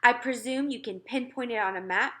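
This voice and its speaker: A woman's voice: on the high side, fairly quick, pushed and bright, voice pushed up. A woman voicing a proud, easily offended male air force chief, huffy and sceptical, in a children's storybook. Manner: huffy, sceptical military bluster. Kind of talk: children's storybook read-aloud.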